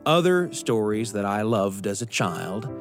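A middle-aged man narrates a personal story in an earnest, reflective manner, pausing deliberately for emphasis. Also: lowish voice, very animated, over music. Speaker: radio storytelling show host.

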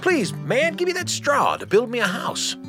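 A man voicing small little pigs in a storybook tale, high and pleading. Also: huge pitch swings, normal speed, music in the background, exaggerated voice.

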